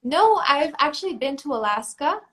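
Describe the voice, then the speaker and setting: Bright-pitched enthusiastic Mexican woman. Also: normal speed. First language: Spanish. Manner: enthusiastic, animated, friendly. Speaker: pageant titleholder, live stream host. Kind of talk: casual live video chat.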